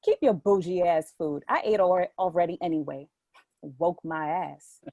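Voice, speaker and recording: A woman's voice: on the low side, moderate pace, darkish tone, no echo, dull audio. A woman reading a brash, sassy, scornful young woman in a stage play reading. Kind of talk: readers theatre play reading.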